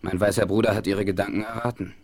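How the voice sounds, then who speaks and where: An actor playing a proud, stern Apache chief in a 1970s radio play, speaking solemnly and formally. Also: male voice, on the low side, fairly even intonation, fast talker, darkish tone, breathy edge. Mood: grave, proud.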